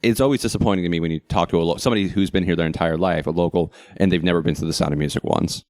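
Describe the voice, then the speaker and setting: An American man co-hosting a podcast, chatting casually with wry, animated humor. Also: low-pitched, racing, no echo, clean studio sound. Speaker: podcast co-host.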